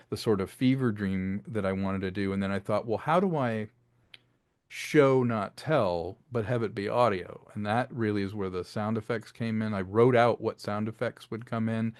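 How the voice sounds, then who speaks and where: A man's voice: on the low side, moderate pace, a little mellow, clear, resonant tone, dry recording, clean studio sound. A man being interviewed as a filmmaker and audio drama writer, talking in a conversational, expansive, reflective storytelling way.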